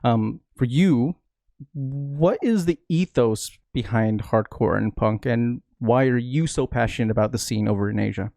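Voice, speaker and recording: Host, male, warm low-key tone, dry close sound, measured questions with ums. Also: creaky, no room sound. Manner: measured, with filler ums while framing questions. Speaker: interview host. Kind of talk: interview questions.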